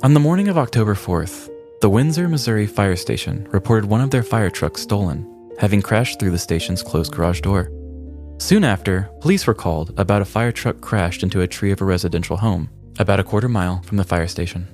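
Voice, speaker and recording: A man's voice: fairly low pitch, lively intonation, brisk, darkish tone, soft background music. A man co-hosting a podcast, narrating a scripted comedic true-crime story. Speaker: podcast co-host.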